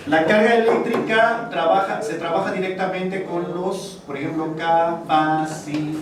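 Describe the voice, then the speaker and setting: A man's voice: on the high side, steady tone, a little slow, background noise. A man with a Mexican Spanish accent teaching a university math class, chatty and joking between explanations. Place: large classroom. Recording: echoey classroom, distant, students murmuring.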